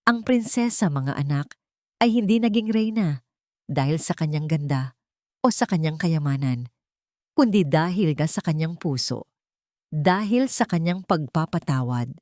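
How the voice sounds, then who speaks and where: A woman voicing an older mother-in-law, once haughty and now gentle and soft-spoken. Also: sits low, expressive, unhurried, long pauses, no room sound, studio quality. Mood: tender.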